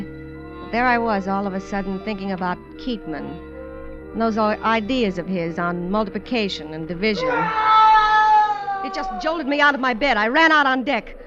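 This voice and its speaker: An actress voices a guarded, worldly American woman in a golden-age radio adventure. She talks plainly and slangily at a middle pitch. The old recording is dull, with prominent music behind her. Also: lightly acted. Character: streetwise, guarded woman adventurer narrating her sea tale. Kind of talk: 1940s-50s American radio drama acting and first-person narration.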